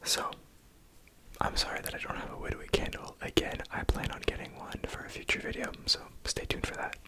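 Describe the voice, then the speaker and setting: A young man from Ontario with a Franco-Ontarian French accent, whispering softly and gently as an ASMR creator. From Ontario, Canada. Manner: soft unvoiced whisper, slow and gentle.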